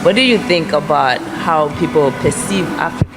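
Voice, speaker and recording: A woman's voice: sits low, brisk, music in the background, poor audio. A young woman hosting a casual on-camera interview, putting questions to guests. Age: young adult. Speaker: host, interviewer. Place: glass-fronted cafe, indoors at a table. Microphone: handheld interview microphone. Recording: loud background noise of busy venue.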